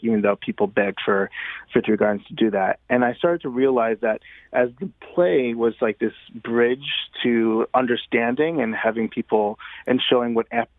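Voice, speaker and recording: A man being interviewed as a guest playwright, talking conversationally in a thoughtful, halting way with many pauses. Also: lowish voice, no room sound, narrow, tinny audio.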